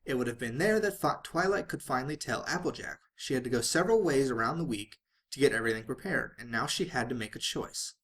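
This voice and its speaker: A man's voice: mid-range voice, expressive, fast talker, hardly any pauses, fairly bright tone. A man reading fan fiction aloud as a dramatic narrator, sometimes stumbling and rereading phrases.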